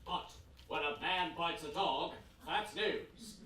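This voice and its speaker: An actor playing a commanding, wry newspaper boss with a deliberate, authoritative, theatrical delivery in a stage radio play. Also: male voice, fairly high pitch, bright, forceful tone, recorded from a distance. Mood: stern, wry. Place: playhouse stage. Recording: very echoey hall from afar, faint noise.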